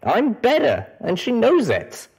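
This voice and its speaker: A performer voicing a weary, defiant, cocky female pegasus hero with a husky voice in a storybook reading. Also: medium pitch, wildly swooping pitch, measured pace, a little mellow, pitched above the performer's own voice, full character voice. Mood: bitter, defiant.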